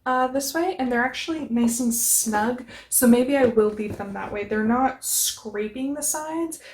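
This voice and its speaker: A young woman vlogging casually about organizing shoes, upbeat and chatty with a booming voice, recorded on a camera mic in a small bedroom. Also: unhurried, darkish tone. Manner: chatty, upbeat, says she has a booming voice. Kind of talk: casual how-to vlog. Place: small bedroom with shoe bins. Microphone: camera mic, close then from across the room. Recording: echoey room.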